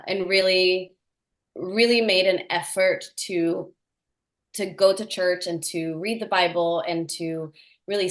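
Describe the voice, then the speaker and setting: A woman from Norway, an actress, answering interview questions in an earnest, enthusiastic way with small laughs. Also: lowish voice. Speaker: actress, guest.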